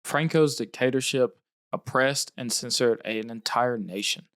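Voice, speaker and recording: A man's voice: medium pitch, fairly bright tone, dry recording. Man narrating a podcast story. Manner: measured storytelling delivery. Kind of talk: scripted narration.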